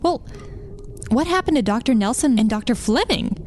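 A woman's voice: fairly high pitch, animated, moderate pace, darkish tone, a little hiss or hum, close to an everyday voice. An actress playing an inquisitive young female crew member who tracks sensor readings in an audio drama. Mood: questioning.

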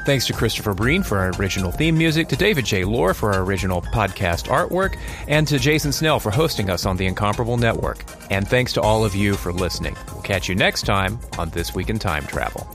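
A man's voice: lowish voice, expressive, a little fast, over music. A man hosting a podcast in a conversational style. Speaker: podcast host.

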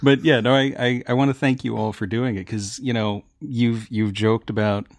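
A man's voice: medium pitch, steady tone, moderate pace, slight background noise. A man talking casually as a panelist in a group discussion. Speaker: podcast panelist.

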